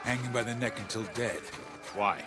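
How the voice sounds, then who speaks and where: An actor playing a stern, disciplined militia officer in a video game, speaking in a firm, businesslike way. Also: male voice, medium pitch, steady tone, normal speed, lightly acted. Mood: guarded, matter-of-fact. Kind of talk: naturalistic video game acting.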